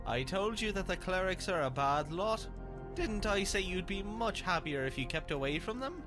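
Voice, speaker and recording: Obsequious fantasy servant played by an actor, sly and needling, medium pitch, clear music bed. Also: animated, moderate pace, lightly acted. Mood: soothing. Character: fawning, sly servant soothing his young master. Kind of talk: dramatic fantasy voice acting.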